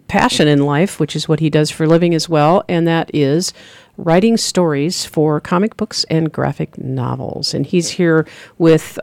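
A man hosting a morning radio interview in a studio, friendly and halting with uhs. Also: on the high side, gravelly, a little mellow, no room sound. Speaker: radio host.

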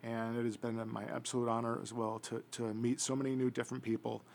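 A man with a gravelly, creaky voice asks for another term, speaking sincerely and a bit haltingly with plenty of ums. A faint hiss of noise sits under the recording. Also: mid-range voice, completely flat delivery, a little mellow. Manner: sincere, grateful, halting with fillers. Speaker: local assembly member seeking reelection. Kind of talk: candidate forum answer.

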